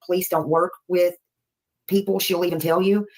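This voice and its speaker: A woman with a Southern US accent and a distinctly low voice. She chats casually as a livestream host, rambling in a folksy, polite manner as she addresses her viewers. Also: level tone, normal speed, a little mellow. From Nashville, United States.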